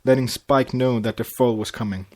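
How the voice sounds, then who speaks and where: A man's voice: mid-range voice, steady tone, normal speed, a little mellow. Man reading a story aloud, stumbling now and then. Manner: stumbles over words and corrects himself. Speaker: podcast host reading fan fiction aloud. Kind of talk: amateur story narration with character voices.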